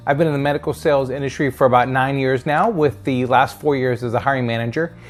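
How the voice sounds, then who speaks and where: A man's voice: mid-range voice, fairly even intonation, fast. Man giving practical career advice. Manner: explanatory, checks in with tag questions like "right?". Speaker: career advice video host, sales hiring manager. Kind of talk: instructional advice straight to viewers.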